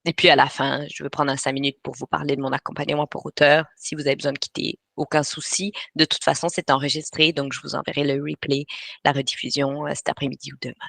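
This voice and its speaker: A woman in her thirties with a Belgian French accent, hosting a webinar for aspiring authors. She talks in quick bursts with lots of fillers and restarts, and goes soft and slow when she guides a visualization. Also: deep voice, level tone, creaky, no room sound. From Belgium (Brussels). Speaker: writing coach and webinar host. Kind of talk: live webinar presentation. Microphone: video call.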